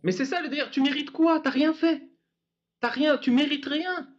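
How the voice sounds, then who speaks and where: A man's voice: very high-pitched, normal speed. A man hosting a casual talk, sarcastic and laughing often. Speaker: host of a debate channel. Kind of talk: casual mocking chat. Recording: dull lo-fi sound.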